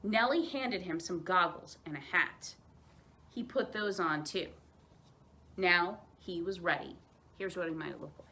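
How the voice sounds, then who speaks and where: A woman telling children's stories aloud as a library storyteller, expressive, with dramatic emphasis and pauses. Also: fairly low pitch.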